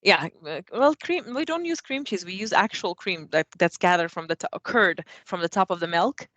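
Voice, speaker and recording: A woman's voice: on the low side, lively intonation, moderate pace, fairly bright tone, no echo. A young woman from Afghanistan co-hosting a casual podcast from her living room, chatting with many fillers and restarts. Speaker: co-host. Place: living room at home, kitchen nearby.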